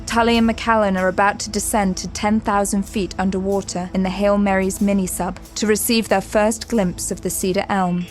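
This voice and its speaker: A woman giving formal, measured scripted narration for a sci-fi audio drama. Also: mid-range voice, steady tone. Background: music.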